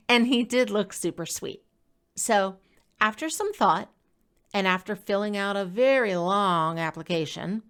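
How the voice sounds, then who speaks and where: A woman reading her own blog post aloud as scripted personal narration, in a conversational, expressive storytelling manner. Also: medium pitch.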